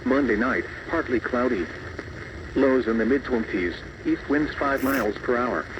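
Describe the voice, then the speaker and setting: A man's voice: mid-range voice, a little slow, recorded from a distance. A synthetic male announcer voice reading a scripted weather bulletin in an impersonal way, in short clipped phrases. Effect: synthetic text-to-speech voice. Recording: muffled, noisy radio reception.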